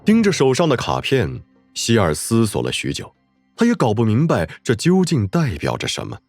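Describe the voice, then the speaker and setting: A man's voice: mid-range voice, wildly swooping pitch, normal speed, a little mellow, no echo. A man delivering dramatic scripted narration for a story. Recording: background music under the voice.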